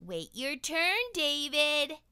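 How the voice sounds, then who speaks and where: A woman voicing a stern, exasperated female schoolteacher, scolding loudly and emphatically in a storybook read-aloud. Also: sits high, sing-song, takes it slowly, no echo, clean studio sound, pitched above the performer's own voice, exaggerated voice.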